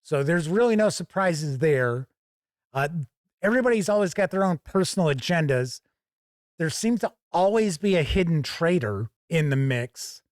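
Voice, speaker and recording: A man's voice: fairly high pitch, lively intonation, moderate pace, no echo, studio quality. A man hosting a conversational video product review, stressing points by repeating phrases. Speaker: host of a tabletop game review channel.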